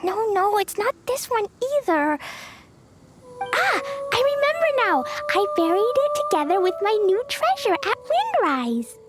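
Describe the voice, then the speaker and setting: A woman's voice: very high-pitched, huge pitch swings, a little slow, exaggerated voice. A woman voicing a cheerful, impulsive little girl in a game cutscene, bubbly and childlike. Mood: excited. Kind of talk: video game cutscene voice acting. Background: game music.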